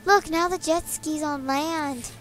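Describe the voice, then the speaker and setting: A young girl with a high voice chatters about a video game, narrating each move as she goes. She draws her words out slowly, and game music plays clearly behind her. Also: steady tone, fairly bright tone. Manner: eager, narrates her own moves. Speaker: child co-player. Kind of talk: excited gameplay chatter.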